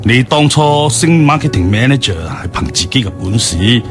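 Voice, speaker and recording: A man's voice: mid-range voice, lively intonation, normal speed, natural voice. Middle-aged businessman father, acted by a man, assured, doting, radio drama, music behind. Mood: amused, reassuring. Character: worldly, open-minded businessman father, doting on his daughter.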